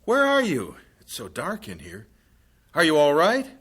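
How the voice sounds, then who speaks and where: A patient, teasing missionary husband in a radio drama. His voice is gentle and reassuring and moves up and down in pitch. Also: wildly swooping pitch, unhurried, husky, exaggerated voice. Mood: concerned. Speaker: missionary husband, then announcer. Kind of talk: 1950s-style radio drama acting.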